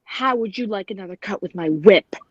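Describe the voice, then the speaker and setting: A woman's voice: mid-range voice, a little fast, husky, dry recording, dull audio, close to an everyday voice. A woman playing a jealous, hot-tempered big cat trainer in a 1940s-style radio play. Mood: threatening.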